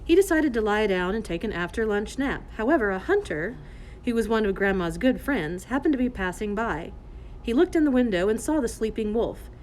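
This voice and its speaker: A woman's voice: fairly high pitch, lively intonation, a little fast, darkish tone, low-quality recording. Woman storyteller, faint background noise. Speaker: storyteller, narrator. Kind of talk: scripted children's fairy tale narration.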